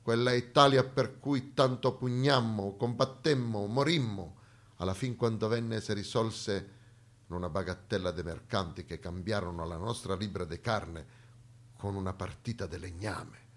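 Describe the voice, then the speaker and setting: A man's voice: on the low side, normal speed, natural voice. A man on stage playing a short, bent old general, grumbling and grandiose, with a Genoese accent tinged with Spanish. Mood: bitter, grandiose. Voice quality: thick foreign-tinged Genoese-style speech.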